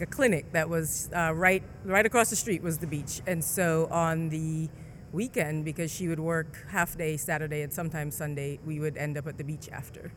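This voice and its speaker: A woman from the Caribbean, mostly Jamaica, as an engineer guest telling relaxed stories full of fillers. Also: sits low, slight background noise. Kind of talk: conversational interview.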